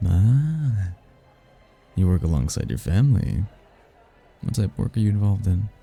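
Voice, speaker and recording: Casting: male actor as suave demon seducer for ASMR roleplay, very low gravelly voice, courtly and flirtatious, prideful. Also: sing-song, moderate pace, dark tone, heavily acted. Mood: charmed, curious. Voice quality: husky, gravelly. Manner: smooth-talking, courtly, flirtatious. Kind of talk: ASMR roleplay, one-sided romantic conversation. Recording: music behind the voice.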